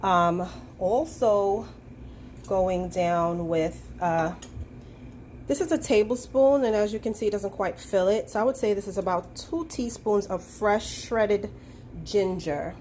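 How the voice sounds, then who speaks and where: A woman with a stuffy, nasal head-cold voice talks her way through a cooking demo in a loose, chatty way, full of ums and asides. She is filming herself handheld in a home kitchen. Steady household noise sits clearly behind her voice. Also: medium pitch, slow pace. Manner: chatty, relaxed, many ums. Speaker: private chef. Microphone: handheld phone camera.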